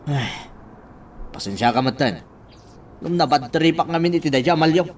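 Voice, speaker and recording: Radio romance role: apologetic man, male actor, higher pitch, distant mic. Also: animated, moderate pace, slight background noise, lightly acted. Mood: apologetic, casual. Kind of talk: radio drama acting.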